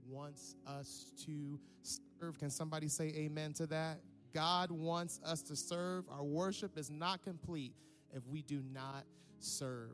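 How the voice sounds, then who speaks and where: A man serving as worship pastor, speaking to the church in an upbeat, conversational way and asking for the congregation's response. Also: a little high. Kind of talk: church announcement.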